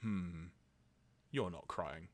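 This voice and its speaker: A man voicing a stern, stoic cartoon father, gravely injured and speaking in a measured, solemn way. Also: deep voice, pitched below the performer's own voice.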